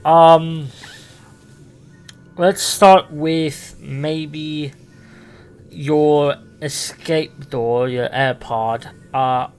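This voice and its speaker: A man's voice: mid-range voice, close to an everyday voice. A man improvising as an eccentric male time traveller, hesitant and full of ums and uhs. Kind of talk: improvised roleplay.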